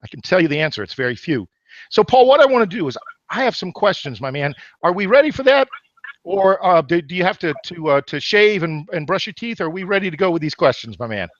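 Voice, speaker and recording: A man's voice: high voice, big pitch swings, brisk, no room sound, lo-fi sound. A man hosting a weekly webinar call, asking questions in a jokey, hyped-up way and calling his guest "my man.". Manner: jokey, hype-driven, calls the guest "my man". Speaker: webinar host, interviewer. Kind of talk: webinar interview.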